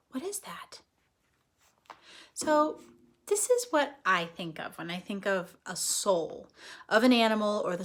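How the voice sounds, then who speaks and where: A woman reading a story aloud to children like a teacher, expressively, pausing to explain things simply and check in with her listeners. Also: mid-range voice. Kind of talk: animated read-aloud storytelling with explanatory asides.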